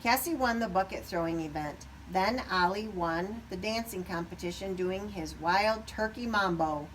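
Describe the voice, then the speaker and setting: A woman reading a children's story aloud expressively, pausing at times to put questions to young listeners. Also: mid-range voice, far from the mic, slight background noise, poor audio. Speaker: storybook reader.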